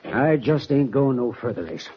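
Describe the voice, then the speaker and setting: A man's voice: medium pitch, expressive, normal speed, close to an everyday voice. An actor playing a weary, conflicted middle-aged father mixed up with a gang in an old Western radio drama, with folksy cowboy talk. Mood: resolute, nervous. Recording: muffled, noisy old radio recording.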